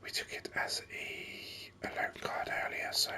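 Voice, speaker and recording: Whispered ASMR game commentary, breathy, very sharp and bright, slow, hesitant musing, close mic. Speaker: gaming video host.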